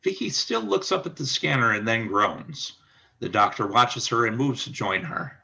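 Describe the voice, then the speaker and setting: A man's voice: mid-range voice, animated, normal speed, vocal fry, pushed and bright. A man reading scripted stage-direction narration for a radio play.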